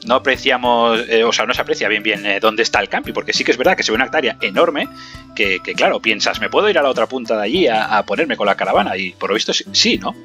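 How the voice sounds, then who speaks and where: A man's voice: lowish voice, animated, fast, sharp tone, faint music behind. A man in his thirties with an accent from Spain, hosting in a casual, chatty style on a video call. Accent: Spanish (Spain). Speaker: host. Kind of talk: casual presenting and chat. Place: indoor room, video call.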